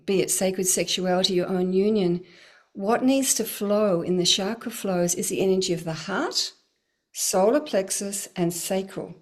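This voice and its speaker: A woman's voice: mid-range voice, a little mellow. Earnest woman in flowing spiritual talk. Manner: earnest, flowing, laughs often. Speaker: spiritual teacher, guest.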